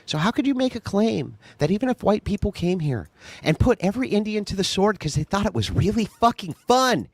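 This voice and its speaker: A man's voice: high voice, very animated, a little fast, no room sound. A man arguing in a heated debate, combative and sarcastic, mocking his opponent. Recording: clip from a recorded debate.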